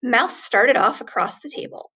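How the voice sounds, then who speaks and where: A woman reading a children's story aloud expressively, with deliberate, dramatic emphasis on words. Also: on the high side, bright, forceful tone, husky, poor audio.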